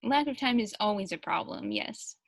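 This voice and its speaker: A woman's voice: on the high side, expressive, moderate pace, vocal fry, dry recording, clean studio sound. A woman in a casual panel interview, chatting and reading out answers as a translator.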